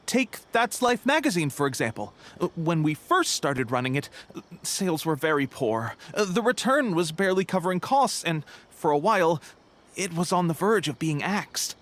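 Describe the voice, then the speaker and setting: A man's voice: on the high side, fairly bright tone. A man voicing a polite, chatty bookshop clerk in an English anime game, helpful and deferential, with a hesitant conversational manner. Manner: hesitant, conversational, deferential, many hums and pauses.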